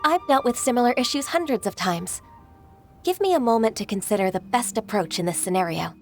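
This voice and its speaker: An actress playing a dutiful, modest secretary in a video game, formal, composed and deferential. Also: female voice, fairly high pitch, expressive, brisk, a little mellow, faint music behind. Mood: composed, helpful. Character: polite, modest, dutiful young-sounding woman secretary.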